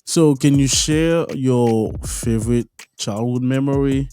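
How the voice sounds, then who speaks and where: A man's voice: medium pitch, slow, warm tone, soft background music. A man from Haiti hosting a casual podcast interview, often stopping and restarting his sentences. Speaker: podcast host.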